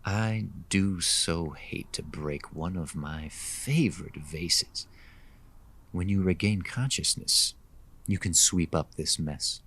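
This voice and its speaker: A man's voice: low voice, takes it slowly, a bit bright, a little hiss or hum. A man playing a menacing, pompous kidnapper in a horror radio drama, preaching with condescending, genteel menace. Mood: sinister politeness. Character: menacing male kidnapper, pompous, patronising, fixated on obedient wives.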